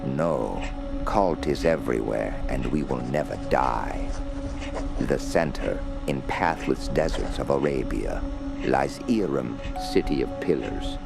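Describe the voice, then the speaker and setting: Fanatical old cultist: extremely low, croaking voice, slow broken English, radio horror menace. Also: male voice, expressive, loud music behind the voice, full character voice. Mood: ominous, reverent. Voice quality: gravelly. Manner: broken English, slow halting incantatory phrases. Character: old, fanatical foreign male cult prisoner, menacing mystic. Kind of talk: radio horror drama acting.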